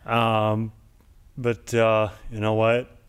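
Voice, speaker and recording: A man's voice: fairly low pitch, completely flat delivery, drawn-out words, clean tone. A man hosting an interview show, talking casually and reminiscing in long, rambling sentences full of "like". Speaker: show host.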